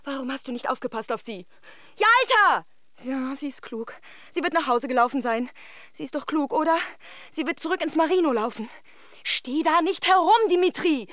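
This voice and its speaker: A woman's voice: high-pitched, a bit bright. An actress playing an emotional, effusive married Russian woman in a German radio drama. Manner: theatrical, emotionally volatile, effusive.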